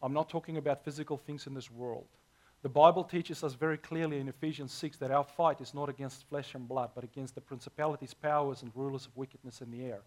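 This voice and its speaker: A man, hoarse this morning, preaching a sermon as pastor with earnest, emphatic delivery. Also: medium pitch, fairly even intonation, quick pace.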